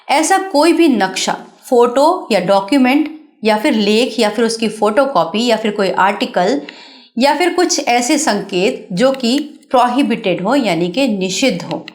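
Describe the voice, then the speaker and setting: An Indian woman presenter giving a scripted explanatory narration, instructive and speaking directly to the viewer. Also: a little high.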